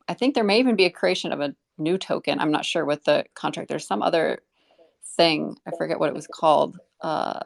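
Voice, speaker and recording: Woman, lowish creaky voice, careful tentative answers in a casual online chat. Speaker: community project member. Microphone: online voice call.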